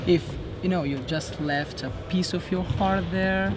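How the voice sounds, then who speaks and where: A male interviewer who is not a native English speaker, asking casual sports questions in hesitant, halting phrases. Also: sits high, heavy background noise. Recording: music playing over the voice.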